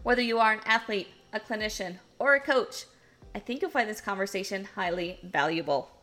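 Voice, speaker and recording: Bright-voiced woman podcast host. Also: mid-range voice, expressive, brisk, faint music behind. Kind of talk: podcast interview, scripted intro.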